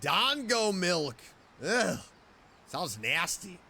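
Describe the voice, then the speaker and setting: A woman's voice: on the low side, very animated, very slow, sharp tone, a little hiss or hum. A woman streamer giving casual, informal, reactive commentary as she plays a game.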